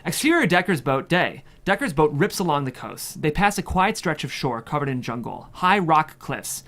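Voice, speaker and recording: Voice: medium pitch, very animated, normal speed, a bit bright. A narrator reading screenplay scene headings and action lines at a table read. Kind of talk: scripted narration of screenplay stage directions.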